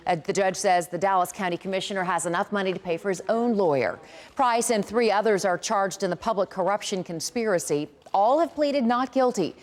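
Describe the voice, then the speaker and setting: Female American TV news anchor. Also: medium pitch. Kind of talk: TV newscast anchoring.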